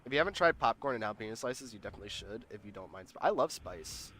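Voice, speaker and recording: A congested man with a nasal voice talks to his stream in a loose, rambling way, repeating himself as he reads out the chat. His voice is bright and swings up and down a lot. Faint noise hums behind him. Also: on the low side, lively intonation, fast. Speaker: livestream host. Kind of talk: casual chat with live chat.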